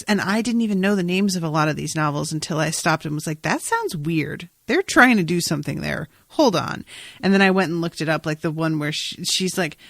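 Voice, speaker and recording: Woman, casual podcast talk, creaky voice, close dry sound, wry, sweary. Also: mid-range voice, dry recording. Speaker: podcast co-host. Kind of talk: casual chat about a TV episode.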